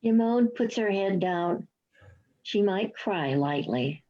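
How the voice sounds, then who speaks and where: A woman's voice: medium pitch, expressive, slow, dark tone. A woman reading stage directions as scripted narration for a play, with slightly muffled sound.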